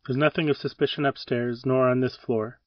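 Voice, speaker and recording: A man's voice: medium pitch, fairly even intonation, fairly quick, no room sound, lo-fi sound, natural voice. Man acting a courteous policeman, distant mic. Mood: polite, probing. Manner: courteous, formal. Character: polite, businesslike police officer searching a house. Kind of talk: audio drama dialogue.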